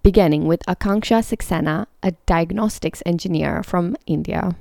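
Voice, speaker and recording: A woman's voice: lowish voice, moderate pace, vocal fry, warm tone, no room sound, clean studio sound. A woman hosting a podcast, reading scripted narration. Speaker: podcast host and interviewer.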